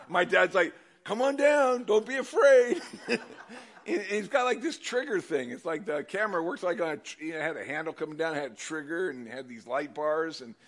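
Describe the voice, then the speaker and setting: Loud, animated older man preaching. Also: fairly high pitch. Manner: animated, loud storytelling with asides. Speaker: pastor. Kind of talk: sermon.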